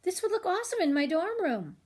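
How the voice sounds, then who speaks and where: A woman's voice: extremely high pitch, sing-song, brisk, darkish tone, voice pushed up. An older woman voicing an eager teenage boy in a children's storybook, calling out questions. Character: eager teenage boy shopping at a garage sale.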